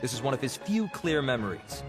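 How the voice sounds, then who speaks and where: A man's voice: medium pitch, big pitch swings, fairly quick, over music, lightly acted. An actor playing a dutiful male investigator in a video game, speaking in a formal, polite, businesslike way.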